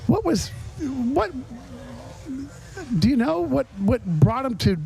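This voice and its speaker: A man's voice: very high voice, big pitch swings, slow pace, gravelly, a little mellow, soft background music. A man hosting a casual podcast interview, chatty and rambling, often repeating words as he builds up to a point. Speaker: podcast host.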